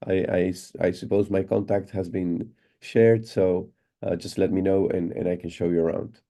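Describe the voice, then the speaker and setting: Relaxed Chilean man giving a lecture. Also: sits low, moderate pace, creaky. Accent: Chilean Spanish. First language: Spanish. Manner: relaxed, repeats words often, many fillers. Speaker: guest lecturer. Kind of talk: online lecture. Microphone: computer mic on a video call.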